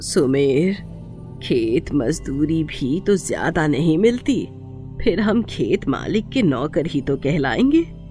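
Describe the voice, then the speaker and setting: A woman acting a poor, worried village mother in a cartoon moral tale. She is loving and plaintive, with a low, mellow voice and a slow delivery. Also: very animated, soft background music, full character voice. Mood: resigned.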